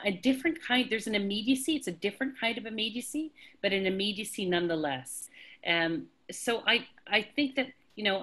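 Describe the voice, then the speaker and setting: A woman speaking thoughtfully on an online panel discussion over a video call, pausing often with fillers. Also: medium pitch, a bit bright. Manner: thoughtful, hesitant with many fillers. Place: home indoors.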